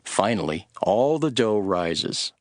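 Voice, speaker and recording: A man playing a calm, authoritative teacher telling parables in a measured, solemn dramatized scripture reading. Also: on the low side, no room sound, clean studio sound. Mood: measured storytelling.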